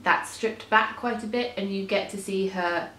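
A woman's voice: medium pitch, normal speed, pushed and bright, a little hiss or hum. A young woman in a casual, chatty book discussion, now and then voicing quoted thoughts. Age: young adult. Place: indoor room lined with bookshelves. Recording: roomy echo.

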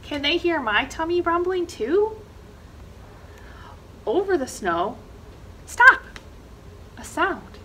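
A woman's voice: very high-pitched, big pitch swings, slow, long pauses, sharp tone, slight background noise. A middle-aged woman reading a children's picture book aloud expressively, with dramatic emphasis on action words. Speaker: librarian reading storytime. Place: indoor library reading corner, seated.

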